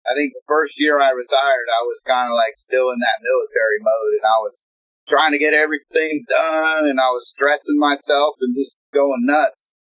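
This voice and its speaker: A man's voice: mid-range voice, moderate pace, no room sound. Rambling middle-aged man, muffled call audio. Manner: relaxed, rambling with many fillers. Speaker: guest, retired military homesteader. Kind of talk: casual interview chat. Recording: dull lo-fi sound.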